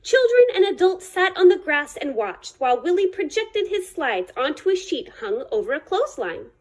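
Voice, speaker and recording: Female storyteller for children's picture book: high voice, lively swooping intonation, deliberate dramatic phrasing. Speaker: reader of a children's picture book, library staff. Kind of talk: expressive read-aloud storytelling for children.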